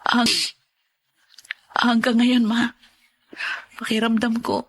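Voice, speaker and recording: An actress playing a sorrowful young married daughter who mourns her child, speaking haltingly and tenderly in a Filipino radio drama. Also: on the high side, little pitch movement. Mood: grieving.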